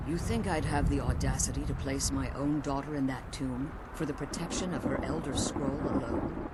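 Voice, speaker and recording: A woman's voice: a bass voice, very noisy. An actress playing an ancient vampire mother in a video game, imperious, severe and protective, with formal, theatrical speech. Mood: stern, indignant. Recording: loud game ambience behind the voice.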